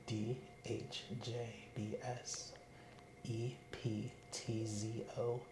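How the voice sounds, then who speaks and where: Man, calm patient giving short answers at an unhurried pace; roomy recording with clear hiss. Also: fairly low pitch. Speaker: patient in a roleplay. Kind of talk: ASMR roleplay.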